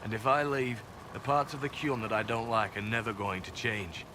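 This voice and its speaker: A man's voice: lowish voice, fairly even intonation, moderate pace, lightly acted. Man acting guarded male elf spy, noisy backdrop. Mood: earnest, reflective. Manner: dry, matter-of-fact, quietly earnest. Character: young male elf spy, former slave, dry and guarded. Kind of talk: video game cutscene acting. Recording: game capture with loud ambient background noise.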